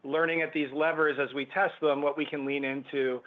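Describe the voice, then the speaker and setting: A man answering business questions as a company executive on an earnings call, with halting corporate phrasing. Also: a little high, level tone. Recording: thin phone-like conference line.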